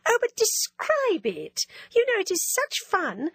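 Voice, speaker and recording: A woman's voice: very high voice, huge pitch swings, unhurried, dry recording, voice pushed up, heavily acted. A woman voicing a vain, teasing young woman who gushes and drops French words into her talk. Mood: delighted.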